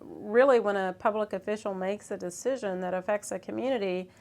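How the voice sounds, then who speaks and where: A woman speaking as a local official, explaining in measured, earnest phrasing in an interview. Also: mid-range voice, creaky, darkish tone. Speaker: city commissioner, interviewee.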